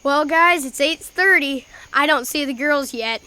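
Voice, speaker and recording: A woman's voice: high-pitched, unhurried, pushed and bright, no room sound. A young female-sounding performer playing a brash, taunting boy prankster in an amateur radio drama. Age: child. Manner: brash, teasing.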